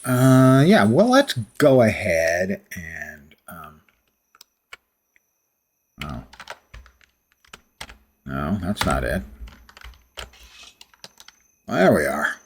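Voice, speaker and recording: A man's voice: deep voice, big pitch swings, extremely slow, pauses often, a little hiss or hum. A man chatting casually and rambling while he livestreams a game. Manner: rambling, self-mocking.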